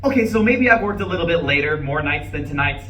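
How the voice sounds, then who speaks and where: Man playing an eager, defensive husband, stage play reading, bright voice, hesitant and over-explaining, roomy distant recording. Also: a little high, expressive, quick pace. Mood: regretful, insistent. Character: earnest, defensive office-worker husband making excuses. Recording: distant mic, echoey room, background noise.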